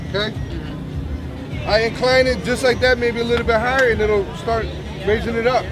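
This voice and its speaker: A man in his thirties, a bomb squad officer, chatting and explaining his gear to a crowd at an outdoor community event with many restarts. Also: very high-pitched. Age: 30s. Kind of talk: casual demonstration to a crowd.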